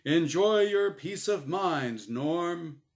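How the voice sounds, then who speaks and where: A man voicing a heavenly game show's prize announcer in a story reading, with salesy, drawn-out promotional patter. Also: on the high side, animated, voice pushed up, exaggerated voice. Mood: grandly promotional. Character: smooth-selling male game show prize announcer in heaven.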